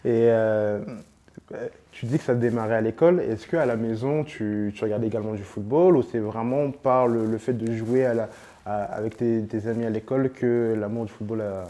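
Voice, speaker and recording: A man's voice: fairly low pitch, moderate pace, warm tone. A man hosting a casual interview, asking questions in an informal, slangy way with many fillers. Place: on location in a sports venue.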